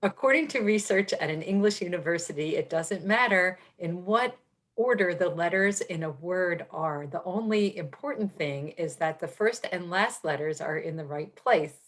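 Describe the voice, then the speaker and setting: A woman's voice: lowish voice, animated, normal speed. Woman reading carefully aloud. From New Jersey. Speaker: personal assistant at a college.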